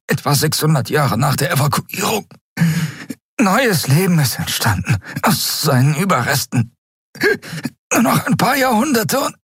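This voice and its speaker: Man playing a breathless, pleading captive, high and husky. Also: a bit bright, no room sound, studio quality, exaggerated voice. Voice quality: thin, strained, breathless. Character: desperate captive man, choking, pleading to be believed.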